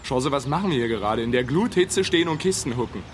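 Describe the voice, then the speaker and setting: An actor playing an exasperated young warehouse labourer in a radio drama, griping in colloquial speech. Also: male voice, mid-range voice, lively intonation, fast talker, noisy background, lightly acted. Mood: sullen griping. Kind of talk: German radio drama acting.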